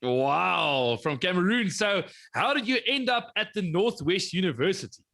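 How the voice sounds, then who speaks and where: A man's voice: high-pitched, animated, normal speed, vocal fry, sharp tone. A man hosting a research talk competition, thanking and introducing presenters in an upbeat way. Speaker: competition host.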